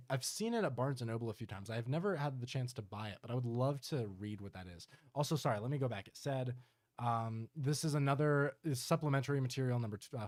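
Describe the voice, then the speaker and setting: A man's voice: mid-range voice, dry recording. Sarcastic man reacting, dry close sound. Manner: sarcastic, joking. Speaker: streamer reacting to horror videos. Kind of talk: casual live reaction commentary.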